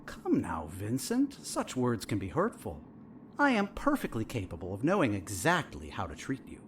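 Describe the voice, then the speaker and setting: Swooping, condescending, menacing doctor. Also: male voice, fairly low pitch, wildly swooping pitch, a little hiss or hum. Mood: condescending, veiled threat. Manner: measured, patronizing, falsely polite. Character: condescending, smoothly menacing doctor treating a captive patient. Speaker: voice actor in an audio drama. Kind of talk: audio drama acting.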